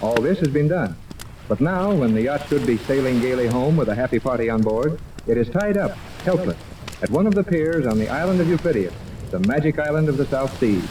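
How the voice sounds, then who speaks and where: A male narrator declaims a scripted recap in 1930s radio serial style. His middle-pitched voice sounds set back from the mic in a clearly noisy old recording. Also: lively intonation, fairly quick, distant mic. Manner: dramatic, declamatory. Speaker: announcer narrator.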